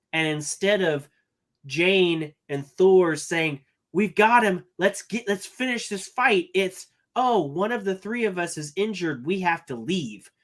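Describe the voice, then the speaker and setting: A man's voice: high voice, a bit bright. A man co-hosting a casual nerd podcast, critiquing a movie point by point. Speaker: podcast co-host. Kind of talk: casual chat.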